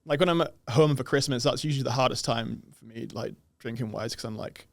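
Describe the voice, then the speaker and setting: A young man from the UK with a softened English accent, chatting casually as a podcast guest and laughing easily. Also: mid-range voice, fairly quick, gravelly, no room sound. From United Kingdom. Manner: relaxed, laughs often, swears casually.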